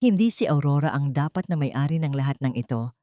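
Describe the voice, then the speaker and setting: A woman voicing a weary, elderly male lawyer in a Filipino audio drama, speaking gravely. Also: low voice, animated, fairly quick, warm tone, no echo, dull audio. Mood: grave, accusing. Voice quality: tired, failing voice. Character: old weary male lawyer revealing dark secrets.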